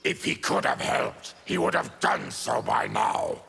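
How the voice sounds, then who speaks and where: An actor voicing a proud, embittered monster warrior in a video game, with a gravelly growl and a grave, formal, forceful manner. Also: male voice, medium pitch, expressive, unhurried, heavily acted. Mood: grim. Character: fierce, embittered male monster warrior, proud former army tribune. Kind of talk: video game character dialogue.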